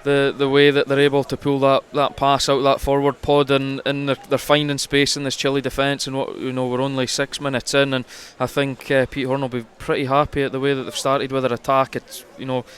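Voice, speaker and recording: Male radio co-commentator, very even intonation, chatty match analysis with halting, explanatory phrasing. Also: mid-range voice, clear, resonant tone. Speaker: rugby co-commentator. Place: commentary position at a rugby ground.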